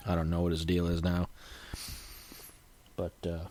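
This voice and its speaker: A man's voice: sits low, monotone, measured pace, dark tone, slight background noise. A man hosting a casual solo podcast, sniffly and coughing from a cold, rambling with frequent restarts. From United States. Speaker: podcast host.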